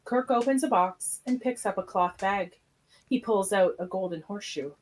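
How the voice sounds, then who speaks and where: A woman's voice: medium pitch, moderate pace, low-quality recording. A woman reading stage directions as narrator in a plain, matter-of-fact way. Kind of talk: scripted narration of a live script read.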